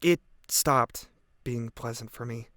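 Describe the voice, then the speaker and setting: A man's voice: mid-range voice, slow, a bit bright, no echo. Man playing teenage boy, guilt-ridden, earnest, hesitant, reflective, audio drama testimony, dry close sound. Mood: weary, guilty. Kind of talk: audio drama monologue, police interview testimony.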